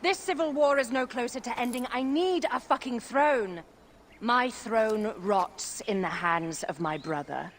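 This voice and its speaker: A woman's voice: a little high, measured pace, a little hiss or hum. An actress voicing a fierce, imperious young queen who speaks in clipped, commanding and defiant lines.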